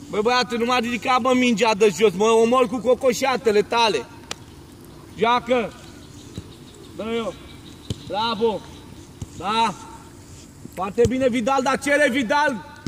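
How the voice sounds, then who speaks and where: A man coaching football, calling out loud, urgent short commands and praise during a training drill. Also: very high voice, long pauses, a little hiss or hum. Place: outdoors on a football training pitch.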